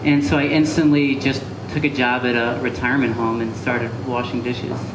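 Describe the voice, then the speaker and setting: A man's voice: medium pitch, normal speed, recorded from a distance. Young man chatting in an echoey cafe. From Connecticut, USA. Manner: relaxed and rambling, with many fillers. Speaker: chef, interview guest. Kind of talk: casual interview answers. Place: live talk event in a cafe. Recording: echoey room with background noise.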